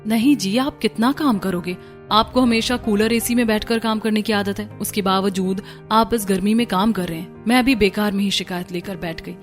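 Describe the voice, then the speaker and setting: Dutiful young housewife voiced by a woman, medium register, earnest and courteous, animated drama. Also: medium pitch, normal speed, faint music behind, natural voice. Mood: reassuring. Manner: earnest, polite. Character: patient, dutiful young daughter-in-law bearing hardship.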